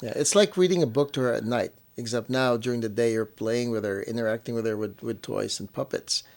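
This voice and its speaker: Male parent co-host, medium pitch, conversational, repeats himself for emphasis, lots of "you know". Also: a little fast, a little mellow. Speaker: parent co-hosting a family show. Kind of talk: casual conversational chat with partner.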